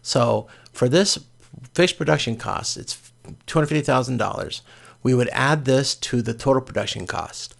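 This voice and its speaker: Guy teaching a class thru a spreadsheet, really gravelly fry, plain informal step by step explaining. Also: mid-range voice, normal speed. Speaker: college course instructor. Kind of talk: informal spreadsheet walkthrough lecture.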